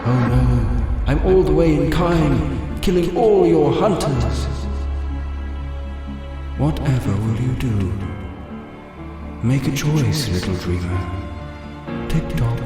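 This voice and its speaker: A man playing a theatrical, taunting villain in an audio drama, with a mocking, drawn-out, mock-courteous manner. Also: mid-range voice, lively intonation. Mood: taunting, sing-song. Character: taunting, theatrical male villain toying with a princess. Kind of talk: fan audio drama acting. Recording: heavily echoing, loud music underneath. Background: music.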